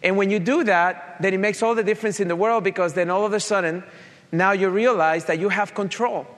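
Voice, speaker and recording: A man with a Colombian accent and a slight Italian touch speaks earnestly to an audience. He tells a personal story in a fairly high voice. The sound carries a long hall reverb. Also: fairly even intonation, brisk, a bit bright. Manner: earnest, direct, addresses audience. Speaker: motivational speaker. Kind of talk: motivational talk with personal story.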